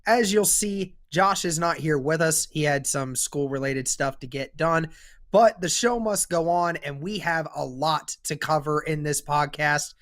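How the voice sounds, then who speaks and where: A man's voice: fairly high pitch, a little slow, fairly bright tone. A young man with a sore throat hosting a casual sports podcast, upbeat and leading the talk. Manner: upbeat, leads the discussion. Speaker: podcast host.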